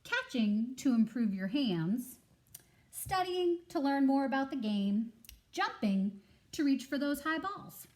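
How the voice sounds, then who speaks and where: A woman's voice: high-pitched, very animated, slow, pitched above the performer's own voice, heavily acted. A woman voicing an encouraging, upbeat male goat coach in a children's picture book, with an instructive manner. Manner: bright-spirited, instructive, encouraging.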